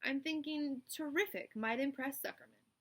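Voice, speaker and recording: A woman voicing a brisk, bossy storybook spider. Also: high-pitched, voice pushed up. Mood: brisk, confident. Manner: crisp, exacting, dryly scornful. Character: brisk, bossy, clever female spider running a meeting. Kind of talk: children's storybook read-aloud.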